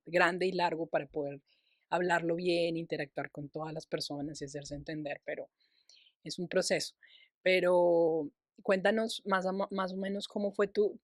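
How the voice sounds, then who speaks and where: A woman's voice: fairly low pitch, no echo, clean studio sound. A young adult Colombian woman hosting a casual podcast interview, encouraging her guest and often restarting her phrases. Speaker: podcast host.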